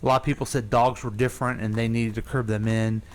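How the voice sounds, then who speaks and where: A man's voice: mid-range voice, flat delivery, quick pace. A man hosting a casual radio talk show, telling stories in a rambling way full of fillers and restarts. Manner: rambling storyteller, thinks aloud with fillers. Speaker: radio host. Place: radio studio.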